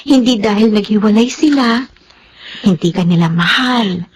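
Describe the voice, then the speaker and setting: An actress with a Filipino accent playing a devoted, gentle and protective nanny and foster mother in a melodrama. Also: medium pitch, moderate pace, a little hiss or hum, close to an everyday voice. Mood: tender, consoling. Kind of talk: Filipino radio-style melodrama acting.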